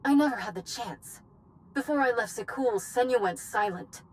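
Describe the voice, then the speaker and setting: An actress playing a solemn, resolute former knight who grieves and wants revenge, in a dramatic video game cutscene. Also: female voice, on the high side, normal speed, a little hiss or hum, close to an everyday voice, rough recording. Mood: solemn, devoted.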